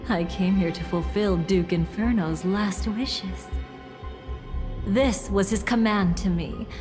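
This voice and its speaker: A woman's voice: mid-range voice, lightly acted. An actress voicing a scheming, theatrical young woman in a video game, playful and mocking, with music underneath. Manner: playful, mocking, dramatic swings in tone.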